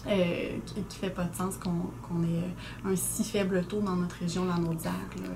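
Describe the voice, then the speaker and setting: A woman's voice: lowish voice, level tone. A young woman from Quebec with a Québécois accent, a newly named executive director speaking earnestly in a radio interview, with frequent hesitations. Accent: Québécois French. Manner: earnest and articulate, with frequent "euh" pauses. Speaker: guest, new executive director. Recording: noisy community radio studio.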